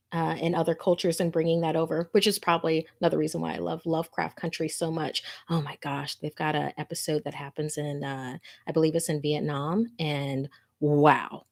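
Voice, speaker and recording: A woman hosts an informal scary story show in a slightly low voice. She is chatty and relaxed in wording, moving between addressing viewers and reading what they sent in. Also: vocal fry. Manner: chatty, informal, easily amused. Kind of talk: casual livestream chat and reading listener messages and stories aloud.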